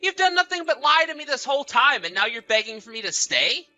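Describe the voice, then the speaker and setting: Audio-story role: betrayed husband played by a man, piercingly bright delivery, animated pitch, sarcastic and confrontational. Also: fairly quick, soft background music, heavily acted. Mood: angry, accusing. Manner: sarcastic, accusatory. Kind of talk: acted audio story dialogue and narration.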